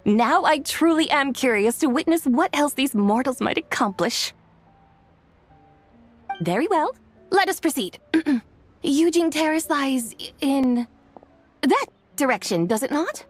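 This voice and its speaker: A woman's voice: high voice, animated, slow pace, long pauses, soft background music. A woman playing a regal, curious immortal visitor in a video game, speaking in a formal, archaic and stately manner.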